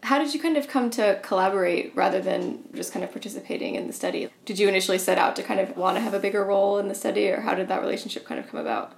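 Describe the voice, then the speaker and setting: A woman's voice: mid-range voice, very fast, vocal fry, hardly any pauses. A woman interviewer asking questions hesitantly, hedging with "kind of" and "um". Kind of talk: interview hosting.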